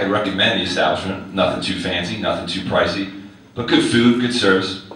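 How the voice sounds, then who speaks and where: Man with a deep low voice, wry essay reading, very echoey hall, distant mic, faint background noise. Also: pushed and bright. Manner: wry, conversational, asks the audience direct questions. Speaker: teacher reading his own essay on stage. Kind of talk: reading a personal essay aloud to a live audience.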